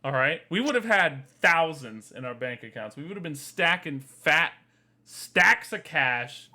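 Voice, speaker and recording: Co-host, young man, twenties; bright forceful voice, loose crude banter, quick to laugh. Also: a little high, animated. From Florida. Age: 20s. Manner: laughs often, crude and joking. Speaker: podcast co-host. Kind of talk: casual podcast banter.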